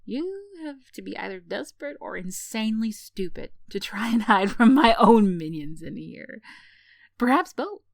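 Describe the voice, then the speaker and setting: A woman's voice: medium pitch, vocal fry, dry recording, studio quality. A woman playing a sardonic tsundere villain in a roleplay ASMR, teasing and condescending with theatrical sighs and scoffs, yet secretly caring. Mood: scornful teasing.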